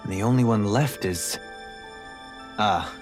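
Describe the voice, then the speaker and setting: An actor playing a calm, thoughtful noble lord who speaks in a measured, formal way. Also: male voice, fairly low pitch. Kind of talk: video game voice acting. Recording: game audio over music.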